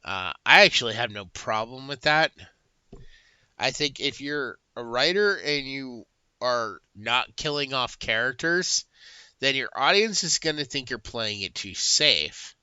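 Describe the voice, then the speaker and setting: Bright, rambling man reviewing books. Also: medium pitch, lively intonation, measured pace, smooth, no room sound, dull audio. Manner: rambling, with frequent fillers and restarts. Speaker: book reviewer and YouTuber. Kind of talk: casual spoken review.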